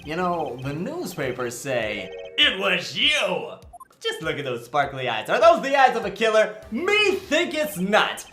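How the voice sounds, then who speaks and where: A man's voice: sits high, wildly swooping pitch, measured pace, pushed and bright, roomy sound, faint music behind, exaggerated voice. A man playing a nervous young rookie lawyer in a comedic game fan dub, joking and rambling when flustered. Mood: exasperated, joking.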